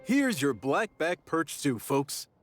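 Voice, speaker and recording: Middle-aged game dad, kindly, fussing, slightly high voice, lively intonation, music behind. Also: male voice, slow. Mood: genial. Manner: genial, gently admonishing. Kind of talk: video game voice acting.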